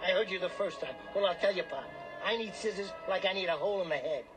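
An actor playing a brash, cocky small-time hustler and gambler in a radio drama. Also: male voice, high-pitched, quick pace, music in the background, close to an everyday voice. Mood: irritated, cocky. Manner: wheedling, cocky, streetwise wise-guy talk.

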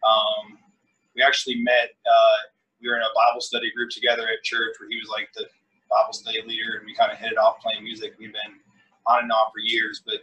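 Relaxed male guitarist from Virginia, low flat voice, bright, slightly off-mic. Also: fairly quick, distant mic, muffled recording. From southeast Virginia, USA. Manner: relaxed, rambling. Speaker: band guitarist and singer. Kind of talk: casual interview answers.

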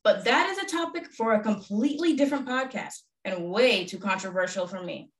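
A woman's voice: medium pitch, expressive, brisk, recorded from a distance. A young woman hosting a history podcast, reading her scripted lines with enthusiasm. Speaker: podcast host. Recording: echoey room, voice off-mic.

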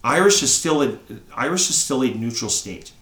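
A man's voice: mid-range voice, lively intonation, normal speed, a bit bright, reverberant. A man in his thirties from Canada, chatting on a podcast, rambling and tagging on "right?" again and again. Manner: rambling, says "right?" often. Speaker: podcast co-host. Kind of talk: casual chat.

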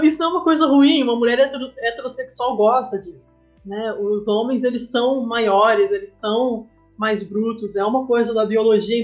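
Brazilian woman chatting over music. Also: a little high. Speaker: guest, comic artist and game writer. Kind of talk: casual podcast interview chat.

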